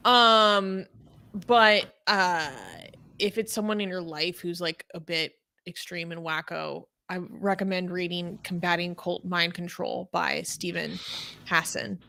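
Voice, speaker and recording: A woman reads news stories aloud and then talks them through in a casual, chatty way, with plenty of fillers. Her voice is bright, and it climbs noticeably when she gets worked up about an injustice. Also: slow, gravelly. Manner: animated, rises in pitch when outraged. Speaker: co-host reading news.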